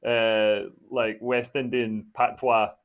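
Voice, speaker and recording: A man's voice: mid-range voice, little pitch movement, slow pace, highs cut off. Wry man on a headset. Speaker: podcast co-host. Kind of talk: casual chat.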